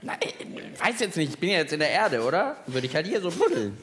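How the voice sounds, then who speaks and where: A man's voice: fairly high pitch, huge pitch swings, fairly quick, a crowd in the background. A man co-hosting a children's science podcast live on stage, chatting off the cuff in a jokey, musing, self-deprecating way. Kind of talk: playful unscripted live show talk.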